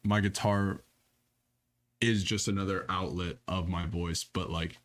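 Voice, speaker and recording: A young guitarist with a low voice, talking eagerly about his playing in a loose podcast chat. He packs his sentences with "like" and "dude". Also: fairly even intonation, a little slow, a bit bright. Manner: enthusiastic, filler-heavy, "dude" and "like". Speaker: band guitarist guest. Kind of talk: casual chat.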